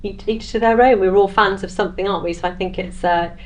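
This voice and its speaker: A woman's voice: medium pitch, fairly even intonation, quick pace, darkish tone. A woman speaking casually as a guest in a podcast panel chat. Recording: clearly noisy background.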